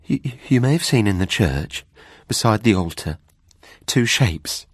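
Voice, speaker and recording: A man voicing an uneasy, superstitious housekeeper woman in a storybook reading, with halting, ungrammatical country speech. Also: on the low side, expressive, husky, no echo, clean studio sound. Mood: hesitant, fearful.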